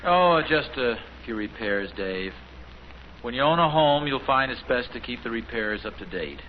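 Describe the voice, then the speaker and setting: An actor playing a middle-aged American suburban dad in a 1950s radio sitcom, proud, mildly defensive, with a hesitant, folksy, dry manner. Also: male voice, medium pitch, animated, moderate pace, natural voice. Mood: self-satisfied. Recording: muffled, noisy 1950s radio recording.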